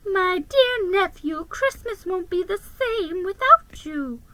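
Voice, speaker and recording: A woman's voice: extremely high pitch, lively intonation, sharp tone, pitched above the performer's own voice, heavily acted, low-quality recording. A woman voicing a sweet, wistful older mouse aunt with a sighing manner, in a storybook. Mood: tender, disappointed. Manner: sighing, drawn-out.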